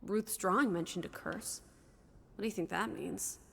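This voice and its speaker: A woman's voice: medium pitch, moderate pace, creaky, a bit bright, breathy edge. An actress playing a cheeky, teasing young 1920s girl companion in a video game, playful and sassy with period slang. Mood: earnest. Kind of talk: lively video game dialogue. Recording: long echoing reverb, background noise.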